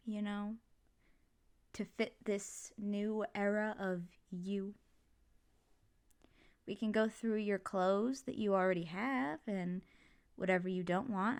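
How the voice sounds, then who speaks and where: A woman playing a supportive, playful mother in an ASMR comfort roleplay, talking casually and reassuringly to her kid. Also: mid-range voice. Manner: casual, reassuring, jokey, gently expressive. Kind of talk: ASMR comfort roleplay, one side of a conversation.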